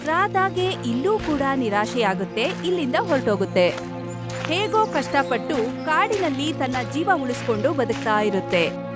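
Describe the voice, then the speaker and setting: A woman's voice: high-pitched, very animated, a little slow, over loud music. A woman narrating a scripted children's animal story as its storyteller.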